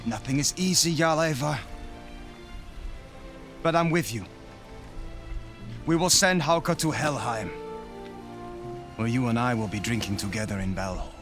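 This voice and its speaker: A man's voice: mid-range voice, measured pace, long pauses, natural voice. A man playing a vengeful young Viking jarl in a game, solemn and defiant, with archaic phrasing. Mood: vengeful resolve. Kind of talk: cinematic game dialogue. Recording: studio voice over game music.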